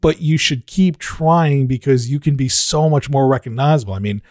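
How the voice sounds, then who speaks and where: A man with a deep voice talks through a relaxed podcast conversation. He is reflective and pokes fun at himself. His voice sits close and dry on the mic. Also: moderate pace, dry recording. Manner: reflective, self-deprecating storyteller. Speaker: podcast host. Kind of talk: casual podcast chat.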